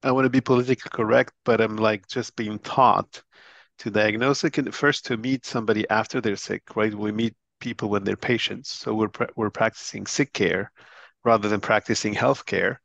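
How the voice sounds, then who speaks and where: A man's voice: fairly low pitch, normal speed, vocal fry, no room sound, highs cut off. A middle-aged man from Lebanon, a doctor and company head, explaining his views earnestly in a conversational interview with many restarts. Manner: earnest, animated explainer with frequent restarts and laughs. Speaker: interview guest, physician and company chief.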